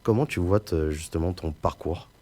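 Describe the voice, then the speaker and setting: A young man with a low, deep voice hosts a casual interview. He frames his questions haltingly, restarting and filling pauses with hesitation sounds. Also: dark tone. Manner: hesitant, restarts and "euh" fillers while framing questions. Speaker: interviewer and podcast host.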